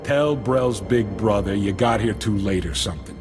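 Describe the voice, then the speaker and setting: An actor playing a hard-nosed, slangy street gang leader in a video game cutscene. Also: male voice, on the low side, normal speed, over music, close to an everyday voice. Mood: bargaining. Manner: tough, slangy.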